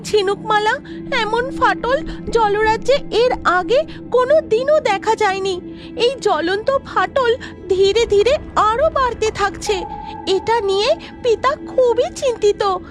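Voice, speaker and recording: A woman's voice: very high voice, slow pace, over music, pitched above the performer's own voice. A woman voicing a worried, earnest young mermaid princess in a cartoon, speaking anxiously.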